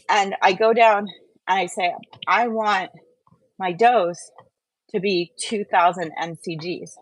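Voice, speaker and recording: A woman's voice: mid-range voice, no echo, rough recording. A woman hosting a casual livestream, explaining things step by step in a conversational, repetitive way. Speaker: host of a live wellness stream.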